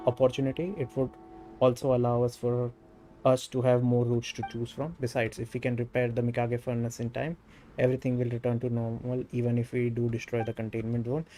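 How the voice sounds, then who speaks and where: A man's voice: medium pitch, darkish tone. A man with an Indian accent, a native Hindi speaker, doing a live game stream with casual delivery. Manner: stumbles over words when reading aloud. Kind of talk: live gameplay stream, reading game dialogue aloud with casual asides.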